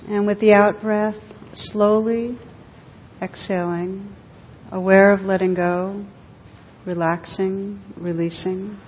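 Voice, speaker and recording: Female meditation teacher, very slow and gentle, slightly low register; long hall reverb on the recording. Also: steady tone. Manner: gentle, unhurried, with long pauses between short phrases. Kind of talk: guided meditation to a group. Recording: dull echoing hall recording, faint rumbling noise.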